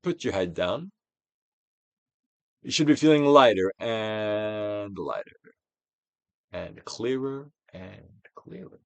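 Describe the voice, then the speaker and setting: A man giving a dramatic, theatrical reading of a self-help book as a guided exercise, with deliberate, swelling and falling emphasis. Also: fairly low pitch, lively intonation, no echo. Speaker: narrator, audiobook reader.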